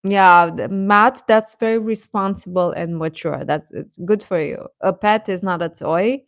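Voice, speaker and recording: A woman's voice: mid-range voice, a little slow, no room sound, studio quality. A woman hosting a livestream, chatting casually and playfully with her viewers. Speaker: livestream host. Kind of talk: casual chat with live viewers.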